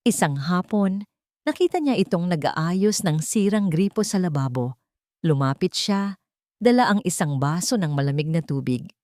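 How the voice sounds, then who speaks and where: A woman reading scripted dramatic story narration in measured, short phrases. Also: fairly low pitch, animated, a little mellow, no room sound, clean studio sound.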